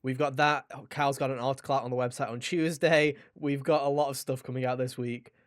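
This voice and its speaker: A man's voice: medium pitch, level tone, fairly quick, no room sound. A man hosting a podcast in a casual, chatty way, with a jokey, mock-dramatic manner, close to the microphone. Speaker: podcast host.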